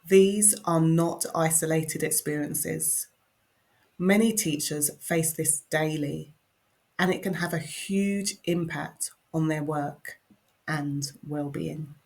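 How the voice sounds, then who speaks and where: Slow, low-voiced woman presenting. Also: darkish tone. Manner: measured, explanatory delivery. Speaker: speech and language therapist. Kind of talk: scripted narrated presentation.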